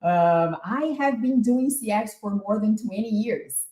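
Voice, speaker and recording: A woman in her forties from Brazil with a Brazilian accent, presenting an online masterclass in an energetic, talkative way. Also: medium pitch, measured pace. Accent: Brazilian Portuguese. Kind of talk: webinar presentation. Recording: echoey room.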